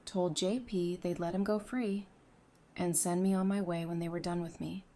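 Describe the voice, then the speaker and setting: An actress playing a resolute, composed widowed survivor of an apocalypse in an audio drama, speaking in a measured, earnest way. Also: female voice, on the low side, fairly even intonation, dark tone, close to an everyday voice. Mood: controlled grief.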